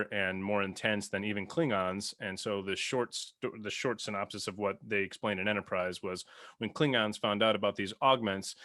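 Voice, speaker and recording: A man's voice: lowish voice, bright, forceful tone, dry recording, clean studio sound. A man co-hosting a casual podcast, explaining sci-fi lore in a storytelling way. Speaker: podcast co-host.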